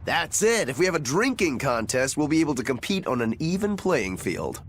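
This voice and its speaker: A man's voice: on the high side, very animated, moderate pace, a little hiss or hum. A man playing a casual, competitive, easygoing fighter in a video game. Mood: keen, easygoing.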